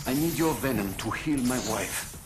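An actor voicing a cold, grave, obsessed scientist supervillain in a video game. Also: male voice, medium pitch, expressive, moderate pace, natural voice. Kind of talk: video game character acting. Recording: loud music behind.

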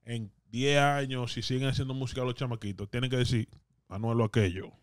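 A man's voice: mid-range voice, steady tone, fairly quick, no echo, clean studio sound. A man in his thirties with a Dominican Spanish accent, debating casually and emphatically, repeating phrases and mixing in English. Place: small indoor podcast studio. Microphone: close studio microphone on boom arm.

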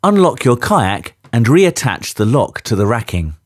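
A man narrating a scripted safety briefing in a clear, measured instructional manner. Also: medium pitch, very animated, no room sound, clean studio sound.

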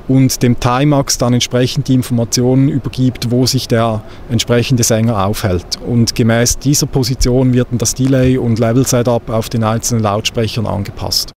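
Male sound engineer, average pitch, measured explainer delivery; handheld mic outdoors with audible ambient noise. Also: darkish tone. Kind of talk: technical explanation to camera. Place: outdoors on an open-air stage. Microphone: handheld microphone held at chest.

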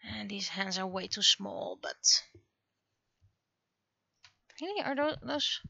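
Bright-voiced woman musing on a livestream. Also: medium pitch, lively intonation, takes it slowly, creaky, no room sound, lo-fi sound. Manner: musing, half to herself, trailing off. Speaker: livestreaming webcomic artist. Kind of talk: casual livestream chat while drawing.

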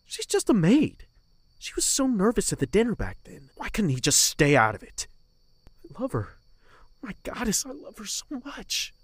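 Casting: bitter, wounded young man for audio drama, husky voice, wide-swinging pitch, very dry sound. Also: wildly swooping pitch, normal speed, no echo, full character voice. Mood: angry, anguished. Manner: emotional, swinging from hushed to outburst.

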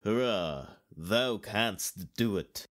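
A man playing a slow, solemn old mentor. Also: lowish voice, expressive. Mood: sage, encouraging. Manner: measured, archaic theatrical verse. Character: wise old Jedi mentor, calm and solemn. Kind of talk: Shakespearean dramatic reading.

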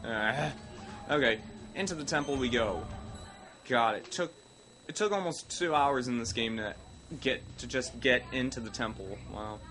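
A man's voice: medium pitch, moderate pace. Sick Midwestern man rambling over game music. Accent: Midwestern American. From American Midwest. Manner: rambling, self-mocking, with sudden outbursts. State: sick, coughing. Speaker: let's play host. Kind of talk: casual gameplay commentary. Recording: game music playing loud underneath.

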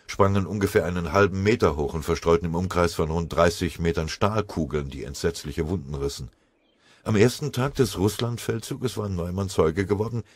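A man reading a war story as an audiobook narrator in dramatic scripted narration, with a husky voice. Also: sits low, dry recording.

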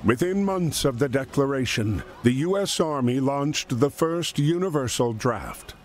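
A man narrating a wartime history game in a solemn, measured, dramatic storytelling style. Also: mid-range voice, expressive, lightly acted. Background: music.

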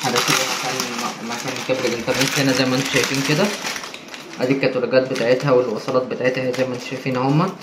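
Very fast-talking Egyptian man. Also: medium pitch, level tone, roomy sound. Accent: Egyptian Arabic. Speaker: installer presenting an installation video. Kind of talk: casual hands-on tutorial commentary. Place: indoors in a marble-walled room. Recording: noisy handheld on-site recording.

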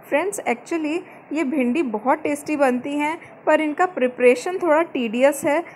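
A woman giving calm step-by-step cooking instructions as the host of a home recipe channel, mixing English words into her Hindi. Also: sits high, moderate pace, a little hiss or hum, poor audio. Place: home kitchen.

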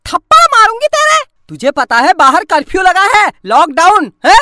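A woman's voice: very high voice, wildly swooping pitch, moderate pace, pushed and bright, no room sound, studio quality, full character voice. A woman voicing a hot-tempered cartoon mother who scolds shrilly and threatens to slap. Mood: scolding. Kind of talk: Hindi cartoon voice acting.

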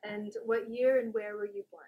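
A woman off camera asking interview questions in a soft, encouraging way. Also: medium pitch, little pitch movement, normal speed, phone-quality sound.

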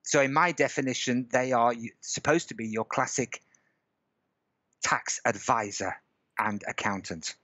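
A man's voice: mid-range voice, a little slow, bright, forceful tone, no echo. A middle-aged man tells his story in an easy, chatty way from a home room, stopping again and again to check that his listener is following. He speaks through a headset on a video call. The sound is a little dull and very dry. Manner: chatty storyteller, stops often to check in with "okay?" and "right?". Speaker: guest. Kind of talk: casual chat on a video call.

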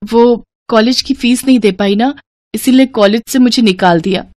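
A woman's voice: on the high side, moderate pace, darkish tone, no echo, natural voice. Woman doing a poor young orphan girl in a cartoon story, humble, earnest, kinda pleading. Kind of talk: animated moral story character acting.

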